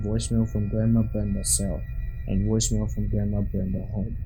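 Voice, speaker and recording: A man's voice: on the low side, poor audio. A man reading scripted first-person accounts of scary true stories as a narrator.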